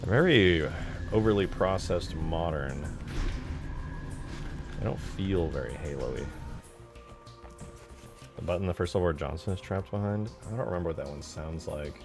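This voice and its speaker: Male streamer, low voice, informal and muttering to himself while modding a game, music behind him. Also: normal speed, pauses often. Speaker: game modder streaming his work. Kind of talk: casual livestream chat while working. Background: background music.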